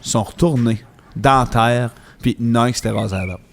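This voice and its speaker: A man with a Quebec French accent telling absurd comic stories in casual banter, halting and repeating himself as he goes. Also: medium pitch, gravelly, slight background noise.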